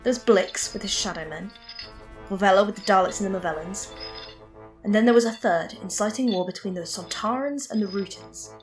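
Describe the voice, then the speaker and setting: A woman playing a quick-witted, flippant and determined time-travelling adventurer in an audio drama. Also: mid-range voice, faint music behind, lightly acted, low-quality recording. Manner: wry, flippant, teasing.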